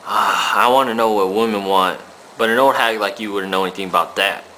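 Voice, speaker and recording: A flippant, cocky young knight, played by a young man in a puppet show. His voice is bright and forceful, talking in slang over a noisy amateur recording. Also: on the low side, a little fast. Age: teenager. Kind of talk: student puppet show acting.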